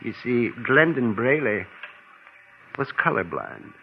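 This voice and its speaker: An actor playing a shrewd, fussy attorney in vintage radio suspense, formal and measured as he probes and quietly corners a suspect. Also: medium pitch, big pitch swings, gravelly, loud music behind the voice, full character voice. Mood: quietly accusing. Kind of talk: 1940s radio suspense acting. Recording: dull, noisy old radio recording.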